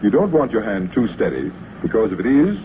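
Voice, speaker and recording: An actor playing a patient, confident stage magician giving instruction in an old radio drama. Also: male voice, on the low side, very animated, a little fast. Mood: patient, instructive. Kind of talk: 1940s radio acting, instructing. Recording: tinny, hissy vintage radio recording.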